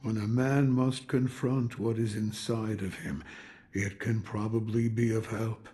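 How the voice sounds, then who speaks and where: An actor playing a gruff, world-weary old sculptor in a video game, brooding and wry in manner. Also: male voice, fairly low pitch, little pitch movement, a little slow, mellow, natural voice. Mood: brooding, cryptic. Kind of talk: video game cutscene acting.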